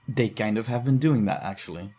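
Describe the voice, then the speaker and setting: A man playing a dry, deadpan skeptic. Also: on the low side, brisk, soft background music, natural voice. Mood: arguing. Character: wary sarcastic young man, reluctant investigator. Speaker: voice actor. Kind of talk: audio drama acting.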